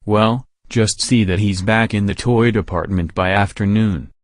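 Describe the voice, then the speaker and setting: A man playing a gruff, short-tempered department store owner in a radio drama. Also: lowish voice, steady tone, unhurried, no room sound, clean studio sound, close to an everyday voice. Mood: angry, commanding. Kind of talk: old radio drama acting.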